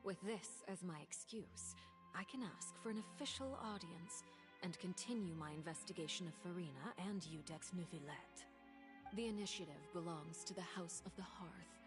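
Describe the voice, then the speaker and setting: Game spymaster, played by a woman: commanding, low-voiced, measured and formal, cool and calculating, with music clearly underneath. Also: lightly acted. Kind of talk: scripted video game voice acting.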